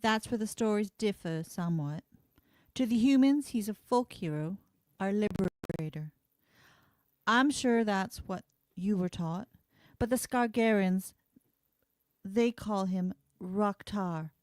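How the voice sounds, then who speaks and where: A woman playing a kind, earnest and quietly defiant frontier schoolteacher in an amateur radio play, explaining things in a measured way. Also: medium pitch, no room sound, natural voice. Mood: somber, explanatory.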